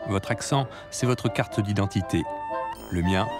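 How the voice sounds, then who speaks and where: A man narrating a television report in a scripted, measured voice-over. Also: fairly low pitch, over music. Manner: addresses the listener directly, measured. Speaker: documentary narrator.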